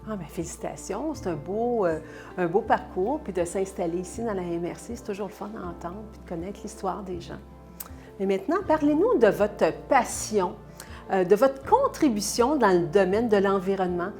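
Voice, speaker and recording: A woman host with a mid-range voice introduces her guest and asks questions with enthusiastic praise. Music plays clearly beneath her, as on a produced local TV segment. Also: mid-range voice, animated, moderate pace. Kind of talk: televised interview hosting.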